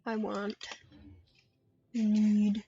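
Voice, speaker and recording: A woman giving casual solo commentary on a game stream in a playful, dryly self-deprecating, halting way. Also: mid-range voice, monotone, warm tone. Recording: dull lo-fi mic with faint noise and clicks.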